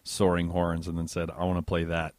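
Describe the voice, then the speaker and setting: Male podcast host, low register, dry close-mic delivery, playful and loose. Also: fairly quick, no echo. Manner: joking, relaxed, many fillers. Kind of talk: casual interview chat.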